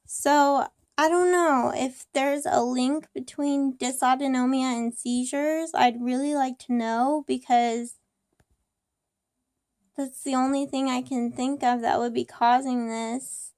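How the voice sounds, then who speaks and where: A young woman with a cold, hoarse, rambling about her day in a casual personal vlog with many ums and restarts. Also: sits high, steady tone, slow, darkish tone, dry recording, studio quality. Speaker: vlogger.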